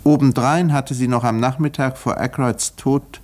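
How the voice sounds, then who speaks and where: An actor playing a wry country doctor who narrates a 1950s German detective radio play with dry, measured irony. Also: male voice, medium pitch, fairly even intonation, a little mellow, lightly acted. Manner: dry, ironic, measured storytelling. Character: male English country doctor, wry, observant detective's companion.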